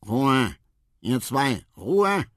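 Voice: medium pitch, very animated, very slow, dry recording, studio quality, pitched above the performer's own voice. A performer voicing a grumpy marabou stork in a children's story, curt, decisive and scolding. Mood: stern, irritated. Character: stern, grumpy marabou stork demanding quiet.

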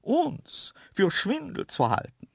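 A man's voice: sits high, wildly swooping pitch, slow, gravelly, breathy edge, no echo, clean studio sound, pitched above the performer's own voice, heavily acted. A man voicing a dainty, cheeky female antelope, easily offended, with a small delicate voice, in a children's story. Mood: huffy. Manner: saucy, haughty.

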